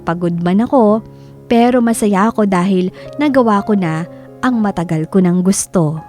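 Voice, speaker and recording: A woman's voice: medium pitch, normal speed, darkish tone, faint music behind, lightly acted. A woman acting a tired but contented student daughter, narrating her story reflectively in a radio drama.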